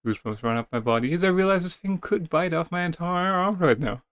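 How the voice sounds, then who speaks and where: Expressive man reading a text game aloud. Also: fairly high pitch, a little fast. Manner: expressive, acting out dialogue. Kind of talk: dramatic reading of a text adventure with casual asides.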